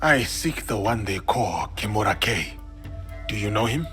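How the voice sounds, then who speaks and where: Resolute samurai warrior, low register, measured formal delivery, grave, video game cutscene, prominent music. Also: male voice, animated. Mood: grave, courteous.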